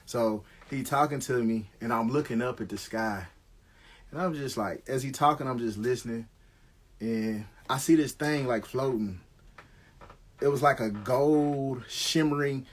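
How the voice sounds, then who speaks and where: A man's voice: medium pitch, a little slow. A middle-aged American man telling stories casually on a live stream, slangy and conversational, swearing freely.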